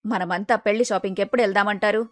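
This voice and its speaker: A woman's voice: medium pitch, fairly even intonation, very fast, no room sound, pitched below the performer's own voice, natural voice. A woman voicing a polite middle-aged mother of a groom, courteous and practical, in storybook dialogue.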